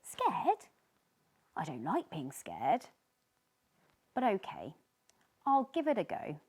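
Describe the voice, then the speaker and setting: A woman's voice: on the low side, wildly swooping pitch, slow pace, a little mellow, slightly rough, full character voice. A woman voicing a timid, sweet and earnest little fish in a children's storybook. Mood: hesitant.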